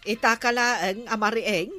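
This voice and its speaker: A woman's voice: fairly high pitch, a little slow, over loud music, close to an everyday voice. A woman with an Ilocano accent playing a village captain's nagging, exasperated wife in a radio comedy drama. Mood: scolding.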